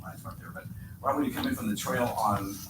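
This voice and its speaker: A man's voice: on the low side, brisk. A man speaking at a public meeting, telling a rambling, chatty story to the board. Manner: rambling, chatty, with lively laughter. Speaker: resident speaking at public comment. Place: echoey meeting room. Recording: echoey, distant, noisy meeting room audio.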